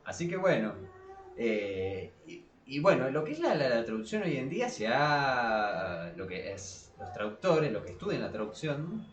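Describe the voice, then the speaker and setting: A man with an Argentine Spanish accent in a casual podcast discussion, speaking haltingly and repeating words as he thinks aloud. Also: medium pitch, very animated, slight background noise. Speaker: podcast co-host. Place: small cramped room below a staircase. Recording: roomy echo of a small room.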